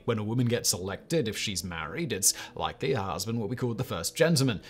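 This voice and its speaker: A man narrates a scripted countdown list with a lively, swinging pitch. He hits the key lines with emphasis and tosses in quick rhetorical asides.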